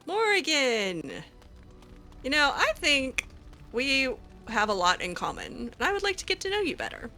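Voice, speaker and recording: A woman's voice: high voice, sing-song, moderate pace, pushed and bright, soft background music. A young woman roleplaying her game character aloud, talking hesitantly with many fillers and restarts. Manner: hesitant, filler-heavy, giggly.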